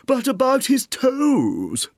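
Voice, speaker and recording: A man's voice: very high voice, wildly swooping pitch, no room sound, clean studio sound, pitched above the performer's own voice, full character voice. A man reading a storybook aloud, voicing a mournful, fussy mock turtle that drags out its words. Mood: plaintive. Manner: slow, drawn-out, whiny.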